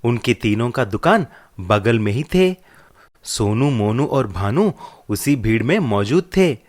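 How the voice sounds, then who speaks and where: A man's voice: medium pitch, sing-song, unhurried. A man reading a scripted children's story as narrator.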